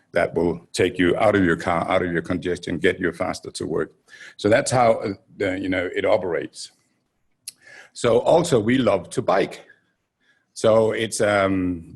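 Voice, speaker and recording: Danish panelist, middle-aged man, low gravelly husky voice, loose rambling delivery. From Denmark. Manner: loose, rambling with many fillers and restarts. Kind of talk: conference panel talk. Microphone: handheld mic.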